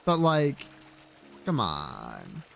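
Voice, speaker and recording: A man's voice: on the low side, wildly swooping pitch, very slow, vocal fry, a bit bright, faint music behind. A man livestreaming a game, chatting casually and bluntly with viewers in slangy, sweary, playfully opinionated talk. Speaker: livestreamer playing a video game. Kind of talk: casual gaming chat, reacting to viewers.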